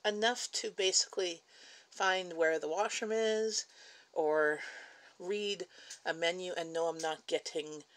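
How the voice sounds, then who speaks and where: A woman's voice: fairly low pitch, steady tone, measured pace, fairly bright tone. A Canadian woman with a Canadian English accent chatting casually at home in her kitchen on a livestream, reading viewer comments aloud, answering them and laughing between remarks. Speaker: livestream host.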